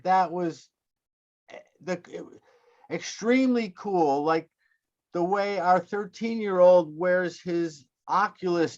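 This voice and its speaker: A middle-aged or older man reminiscing casually on a video call, often searching for his words. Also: fairly high pitch. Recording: slightly dull video-call audio.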